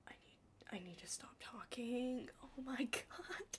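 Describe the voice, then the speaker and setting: A young adult woman doing an ASMR roleplay as a study partner, chatting casually in a hushed, halting, rambling way with lots of "like" and some whispering. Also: fairly high pitch. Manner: rambling, halting, heavy use of "like".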